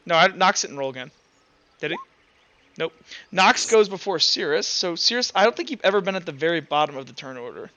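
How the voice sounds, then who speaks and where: A man's voice: a little high, lively intonation, brisk, sharp tone, highs cut off. A man acting as game master, casually running an online tabletop game and thinking aloud as he goes.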